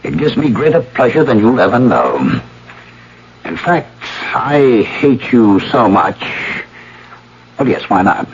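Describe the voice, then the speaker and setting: An actor playing a spiteful, bitter husband with sardonic contempt, in an old-time radio drama. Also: male voice, mid-range voice, sing-song, slow pace. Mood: cold, gloating. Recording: muffled old radio recording with hiss.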